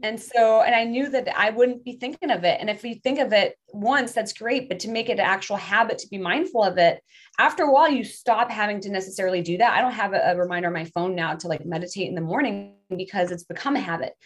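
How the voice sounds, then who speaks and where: A woman's voice: mid-range voice, fast. A middle-aged woman from southern France, whose first language is French, talking casually as an interview guest, with frequent fillers and restarts. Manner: chatty, many fillers and restarts.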